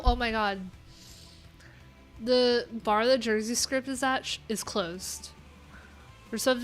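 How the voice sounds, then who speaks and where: A woman chatting casually on her own livestream, rambling with many fillers and restarts. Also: on the high side. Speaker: live coding streamer.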